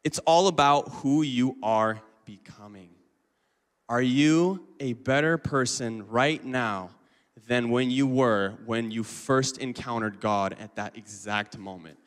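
A man's voice: medium pitch, takes it slowly. A man in his twenties giving a casual, chatty sermon full of fillers and asides. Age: 20s. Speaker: lay preacher. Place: church hall. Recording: reverberant hall.

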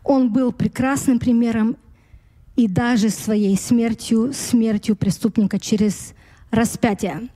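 Woman preaching slowly, searching for words. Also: on the high side, fairly even intonation, warm tone, in a large hall. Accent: American-influenced Russian. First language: Russian. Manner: slow, searching for words, with light laughs. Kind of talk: sermon.

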